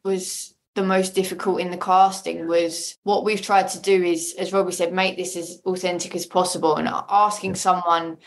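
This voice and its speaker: A woman's voice: lowish voice, flat delivery, moderate pace, few pauses. A woman speaking casually as an interview guest, explaining things emphatically and often repeating words for emphasis. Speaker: audio drama maker, podcast guest.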